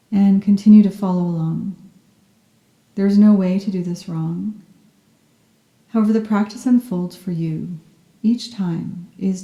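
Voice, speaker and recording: A soft-spoken woman guiding a yoga nidra meditation in a gentle, soothing and unhurried manner. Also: mid-range voice, echoey room, rough recording. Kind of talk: guided meditation, scripted narration.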